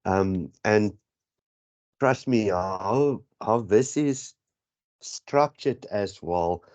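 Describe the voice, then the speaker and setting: A man's voice: on the low side, drawn-out words, a little mellow. A man with a South African accent whose first language is Afrikaans, tutoring statistics for an exam in a rambling, self-correcting way, on dull lo-fi audio. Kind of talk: exam prep lesson.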